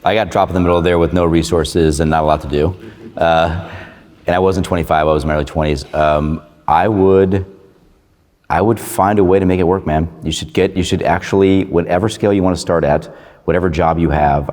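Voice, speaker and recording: An American man with a smooth, radio-like voice answers audience questions casually as a guest on a live panel. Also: sits low, fairly even intonation, a little fast, darkish tone. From Michigan, US. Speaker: guest investor on stage. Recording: reverberant conference hall, live stage.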